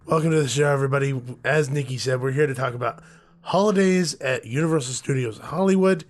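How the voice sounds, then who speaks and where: A man co-hosting a podcast with a hoarse, gruff voice, chatting casually and joking around. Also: mid-range voice, fast talker. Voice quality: gruff, rough. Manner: joking, rambling, laughs often. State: hoarse, losing his voice. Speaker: podcast co-host. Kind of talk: casual chat.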